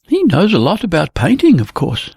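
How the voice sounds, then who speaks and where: A narrator voicing a gentle, earnest young woman painter who soothes her lover. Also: medium pitch, wildly swooping pitch, moderate pace, gravelly, slightly rough, no room sound, pitched above the performer's own voice, heavily acted.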